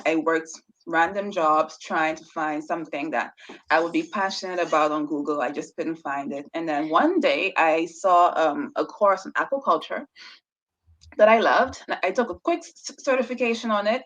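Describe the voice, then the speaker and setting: A woman's voice: lowish voice, fairly bright tone, rough recording. Woman who stutters while telling her story. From Bahamas. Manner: stutters, repeats words, laughs often. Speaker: aquaculture researcher, guest. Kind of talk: casual interview storytelling.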